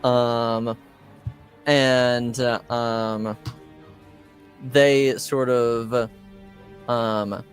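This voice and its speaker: Halting, slow-paced male game master. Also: mid-range voice, little pitch movement, soft background music. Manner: hesitant, halting delivery. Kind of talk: improvised tabletop game narration.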